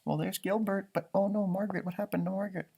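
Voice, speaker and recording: A man giving an expressive children's storybook read-aloud, adding exclamations and comments for young listeners. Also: high-pitched, fast, creaky, a little hiss or hum. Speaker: storybook reader, teacher.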